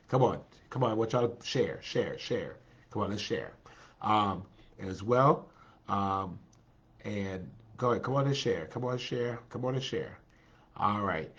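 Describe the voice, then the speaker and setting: A man's voice: fairly low pitch, animated, normal speed, slightly rough. Guy hosting a livestream, stuffy nose, kinda nasal, talks easy with lots of ums, muffled audio. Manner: chatty and encouraging, with many fillers. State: congested, a little nasal. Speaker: livestream host. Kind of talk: casual livestream chat greeting viewers.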